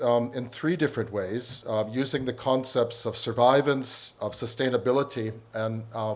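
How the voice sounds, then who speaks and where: A middle-aged man from northern Canada, a professor giving a conference talk, full of pauses and restarts. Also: mid-range voice. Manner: hesitant, many ums and restarts. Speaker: professor and panel speaker.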